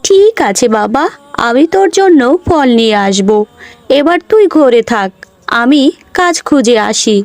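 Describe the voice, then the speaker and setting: A woman's voice: sits high, big pitch swings, measured pace, a little mellow, dry recording, exaggerated voice. A woman voicing a poor, worried mother who speaks humbly in a children's cartoon story. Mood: gentle, reassuring.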